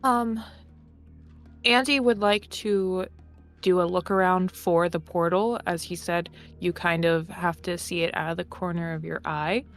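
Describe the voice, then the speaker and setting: A woman's voice: on the low side, a little slow. A woman playing a tabletop roleplaying game, talking casually and playfully as one of the players. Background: music under the voice.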